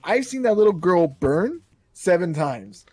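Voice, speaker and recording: Animated jokey man, lively pitch. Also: unhurried, no room sound. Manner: animated, jokey, puts on silly voices in anecdotes. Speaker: podcast co-host. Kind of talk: casual chat.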